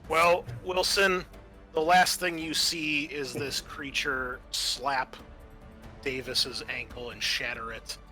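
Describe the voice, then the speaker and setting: A man with a bright, forward voice and a middling pitch serves as game master of a horror tabletop game. He tells the scenes vividly and drops into casual rules talk, with music playing behind him. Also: steady tone, slow.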